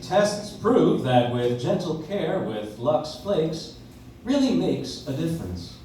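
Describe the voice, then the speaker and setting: A man's voice: on the high side, sing-song, slow pace, dark tone. Guy doing old-time radio ad man, keen and emphatic, recorded way off mic, big echo, bit of noise. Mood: promotional. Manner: salesman-like, emphatic. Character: polished, enthusiastic 1940s radio announcer selling soap flakes. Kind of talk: 1940s radio commercial, live on stage. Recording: echoey distant audience recording, faint noise.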